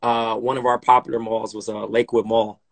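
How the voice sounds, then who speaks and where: A man in his thirties from Compton hosting a casual interview over a phone live stream, relaxed and hesitant, often repeating his words. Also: on the low side, brisk, vocal fry. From Compton, California. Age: 30s. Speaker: podcast host. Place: dim room indoors, on a live video call. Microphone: phone camera, split-screen live stream.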